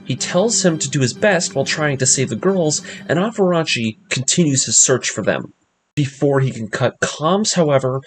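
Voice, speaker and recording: Man, medium pitch, recap host, scripted narration with casual commentary, music playing behind the voice. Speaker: host of an anime story breakdown series.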